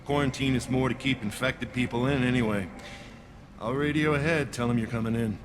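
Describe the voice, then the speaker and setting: A man playing a gruff, dismissive guard. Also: mid-range voice, steady tone, brisk, background noise, natural voice. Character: surly male checkpoint guard, dismissive.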